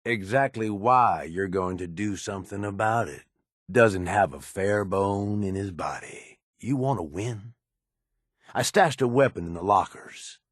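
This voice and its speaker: An actor playing a blunt, pushy, cunning raider schemer in a video game, with a streetwise American manner of speech. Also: male voice, fairly low pitch, lively intonation, measured pace, no echo, studio quality. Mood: wry, pushy. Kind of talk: video game character dialogue.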